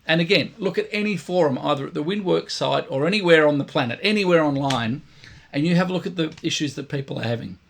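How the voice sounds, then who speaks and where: A man, a brass teacher giving blunt, emphatic instruction to camera, his voice croaky and tired today. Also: fairly high pitch, fast. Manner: emphatic, blunt, repeats points for stress. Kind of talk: direct instructional talk to camera.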